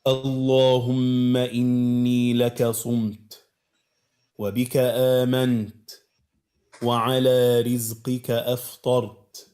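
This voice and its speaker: Dark-voiced man reciting a prayer. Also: mid-range voice, little pitch movement, normal speed.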